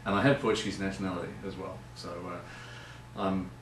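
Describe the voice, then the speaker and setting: Low, flat Australian man, off mic. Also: recorded from a distance. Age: middle-aged. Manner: halting, many fillers and restarts, low and flat. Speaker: winemaker. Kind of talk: casual chat. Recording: roomy, from off mic, faint noise.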